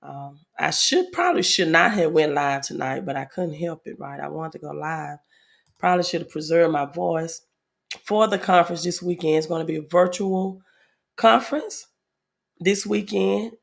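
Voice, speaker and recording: A woman hosting a casual livestream, a little hoarse from a head cold, reading viewer comments aloud with many fillers. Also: sits low, normal speed. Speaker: livestream host.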